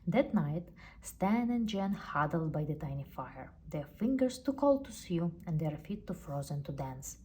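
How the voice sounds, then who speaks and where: A woman's voice: sits low, sing-song, measured pace, darkish tone. A woman with a non-native English accent reading a children's storybook aloud expressively. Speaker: storybook reader. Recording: faint background noise.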